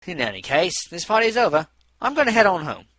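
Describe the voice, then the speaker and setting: Man doing an aloof, animated scholarly pony doctor. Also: high voice, fairly quick, pitched above the performer's own voice, full character voice. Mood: bored.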